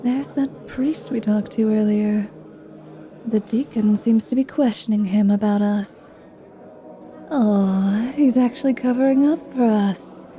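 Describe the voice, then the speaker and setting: A woman voicing a flirtatious, mischievous vampire paladin in an ASMR roleplay, with a teasing, drawn-out delivery. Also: medium pitch, warm tone, lightly acted. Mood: watchful, amused. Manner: slow, teasing, sultry delivery with drawn-out words.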